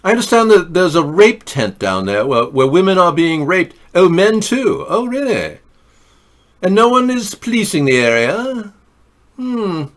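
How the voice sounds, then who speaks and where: A man's voice: sing-song, measured pace, a bit bright, full character voice. A man voicing a snooty rich hotel guest in an exaggerated put-on British accent. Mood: mock-horrified. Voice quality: exaggerated high affected voice.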